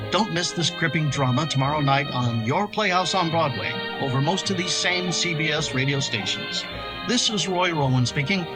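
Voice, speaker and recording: A man's voice: fairly high pitch, moderate pace, natural voice. A man playing a polished, formal 1950s radio network announcer, reading scripted promotional copy. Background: music.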